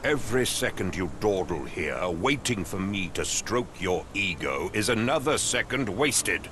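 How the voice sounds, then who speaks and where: Middle-aged male English captain for a game: pompous, curt, slightly deep, outdoor noise behind. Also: animated, unhurried, fairly bright tone. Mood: impatient, irritated. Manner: pompous, clipped, condescending. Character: pompous, impatient male English captain, haughty wealthy master. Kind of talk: video game voice acting.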